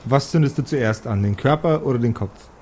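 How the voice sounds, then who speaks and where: A man narrating an improvised tabletop game as game master, deliberately and with pauses between phrases. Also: medium pitch, a little mellow, a little hiss or hum.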